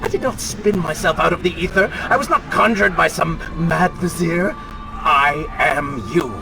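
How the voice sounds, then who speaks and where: An actor playing an arrogant, taunting dark spirit, a prince's wicked other self, with mocking, theatrical delivery in a video game. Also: male voice, on the high side, a little slow, gravelly, low-quality recording. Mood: cold, persuasive. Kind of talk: dramatic video game acting. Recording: loud music under the voice.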